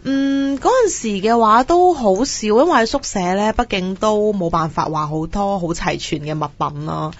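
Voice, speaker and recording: A woman's voice: mid-range voice, normal speed, few pauses. Young woman co-host, slightly muffled sound. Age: young adult. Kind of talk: casual chat.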